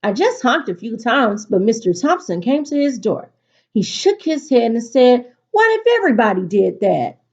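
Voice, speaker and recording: A woman teacher reading aloud to students in an expressive, instructive storytelling delivery. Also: a little high, moderate pace. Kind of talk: picture-book read-aloud for a virtual class. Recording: dull, lo-fi recording.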